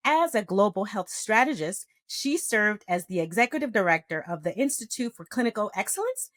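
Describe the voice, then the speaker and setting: A woman's voice: a little high, no room sound. A middle-aged woman, a nurse practitioner, hosting a podcast with a formal, enthusiastic scripted intro and then interviewing a guest. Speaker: podcast host.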